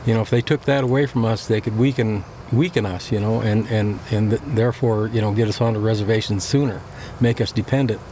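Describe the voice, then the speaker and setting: A man giving reflective interview answers in a thoughtful, hesitant way with many pauses and fillers. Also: fairly low pitch, fairly even intonation, dark tone, slight background noise.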